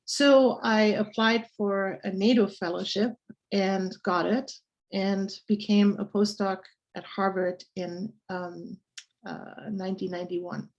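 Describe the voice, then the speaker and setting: Casting: German-accented woman, sixties, scientist guest, mid-pitched, halting delivery. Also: a little mellow, muffled recording. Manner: halting, many pauses and fillers. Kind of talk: interview chat.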